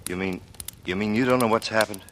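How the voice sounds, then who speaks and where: A man's voice: lowish voice, fairly quick, fairly bright tone, lightly acted. An actor playing a grim, worried man bent on vengeance, in a 1940s radio drama. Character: grim, worried male friend bent on avenging murders. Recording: noisy old radio broadcast recording.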